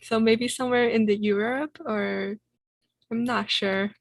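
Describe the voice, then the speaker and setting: A young woman from South Korea who speaks Korean natively, talking in English as a panelist in an online discussion, halting and full of fillers. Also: a little high, fairly even intonation, creaky, no echo. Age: young adult. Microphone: video call.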